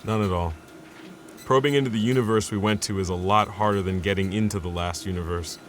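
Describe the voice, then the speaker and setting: An actor playing a steady, loyal male scientist in a naturalistic audio drama. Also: on the low side, fairly quick, slight background noise, close to an everyday voice. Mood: resolute. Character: steady, loyal scientist colleague, grounded and persistent.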